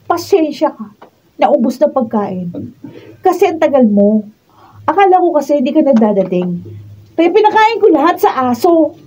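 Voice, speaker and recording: A woman's voice: high voice, sing-song, normal speed, long pauses, warm tone. A woman acting a bratty, childish young girlfriend in a Filipino radio drama, with a whiny, nagging manner and a Filipino accent. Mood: irritated. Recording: dull lo-fi room recording with clear noise.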